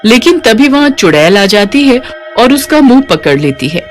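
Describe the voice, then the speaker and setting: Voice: medium pitch, sing-song, normal speed. A storyteller narrates a scripted cartoon tale in the third person. Faint music plays behind the voice.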